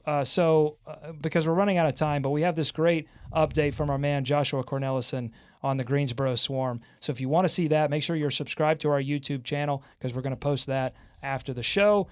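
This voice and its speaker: Higher-pitched man hosting sports talk, excitable. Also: clean tone. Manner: excitable, animated. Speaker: sports talk show host. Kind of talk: casual sports fan banter with comments and highlights.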